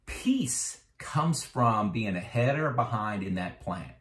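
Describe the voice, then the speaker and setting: A man's voice: lowish voice, expressive, takes it slowly, a bit bright, roomy sound. A man in his fifties talking casually about his own finances, conversational with frequent fillers and growing emphatic when he makes a point. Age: 50s. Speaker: retirement finance vlogger. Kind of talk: casual explanatory talk to camera.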